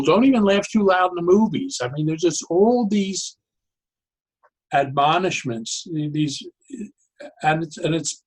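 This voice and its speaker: A man speaking as an interview guest, explaining his views conversationally in halting, deliberate phrases with pauses. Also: a little high, slightly rough. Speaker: interview guest, therapeutic humor expert.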